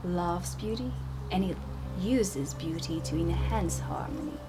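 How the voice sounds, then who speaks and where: A woman playing a strict dark witch who teaches at an academy, speaking softly and deliberately in an ASMR roleplay. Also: lowish voice, noisy background, natural voice. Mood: calm, instructive. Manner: slow, deliberate, instructive. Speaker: ASMR performer. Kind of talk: soft-spoken ASMR roleplay lesson.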